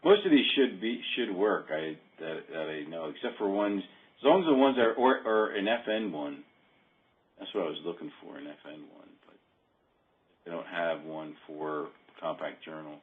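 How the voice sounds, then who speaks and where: A man giving a casual software walkthrough over a conference call, thinking aloud in a halting way. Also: deep voice, animated. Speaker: software demonstrator. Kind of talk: casual screen-share walkthrough. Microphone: phone or conference call. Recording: muffled narrow phone-like call.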